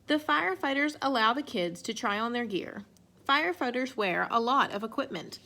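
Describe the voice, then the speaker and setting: A woman reading a children's picture book aloud in an expressive way. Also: on the high side, moderate pace. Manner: expressive, acts out sound effects and exclamations. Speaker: storybook reader.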